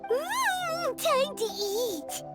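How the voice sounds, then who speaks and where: A woman voicing a tiny, bossy, chatty childlike fairy companion in a game, with a squeaky, excitable delivery. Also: extremely slow, loud music behind the voice, full character voice. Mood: eager, greedy. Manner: excitable, refers to herself by name. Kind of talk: English game dub, cartoonish cutscene acting.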